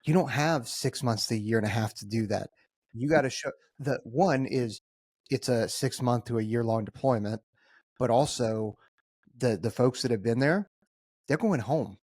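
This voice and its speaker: A man's voice: medium pitch, normal speed, darkish tone, husky, dry recording, studio quality. An American man hosting a casual podcast conversation in an informal, conversational way. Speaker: podcast host.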